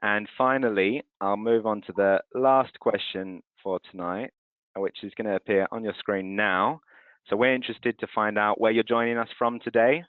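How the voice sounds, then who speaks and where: Man from France, webinar presenter, smooth resonant voice, dry tinny call sound. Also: fairly low pitch, moderate pace, no room sound. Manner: clear, steady presenter running audience polls. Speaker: currency transfer firm representative. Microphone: video call. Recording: tinny phone-like call audio.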